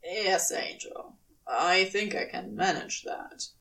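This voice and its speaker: Lady doing a smitten demon guy for audio fiction, hoarse, lower voice, hesitant, bit off mic. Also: animated, takes it slowly, fairly bright tone, recorded from a distance, full character voice. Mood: aroused, devoted. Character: smitten male demon, rough-edged, devoted lover.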